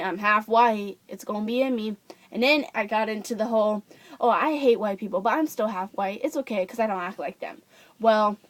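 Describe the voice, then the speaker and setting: A woman's voice: on the high side, dry recording. A young woman from Japan, chatting casually into a camera and ranting, full of fillers and restarts. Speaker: vlogger.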